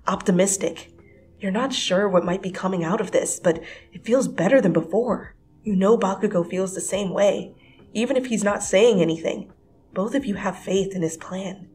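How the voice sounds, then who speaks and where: A woman giving a dramatic second-person story reading, swinging from hushed whispers to breathless, excited rises. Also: mid-range voice, moderate pace, poor audio. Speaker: fanfiction reader.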